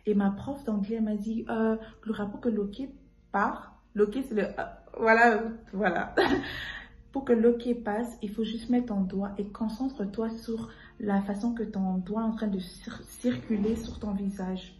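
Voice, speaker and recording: A woman in her twenties from Congo, talking casually as a guest about lessons from her year, relaxed and slipping into English phrases now and then. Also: mid-range voice, a little slow, reverberant, a little hiss or hum. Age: 20s. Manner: relaxed, mixes in English phrases, laughs easily. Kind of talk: casual testimony to camera.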